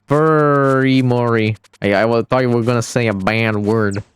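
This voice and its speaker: A man's voice: medium pitch, fairly even intonation, moderate pace, smooth, no room sound, clean studio sound, faint music behind. A man giving casual live commentary on a game replay stream, chatting with viewers and laughing often.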